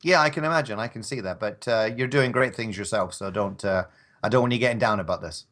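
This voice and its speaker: A man's voice: fairly low pitch, quick pace, a bit bright. A man chatting casually as a podcast guest, polite and hesitant, often restarting his phrases. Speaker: podcast guest, audio drama performer. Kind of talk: casual chat.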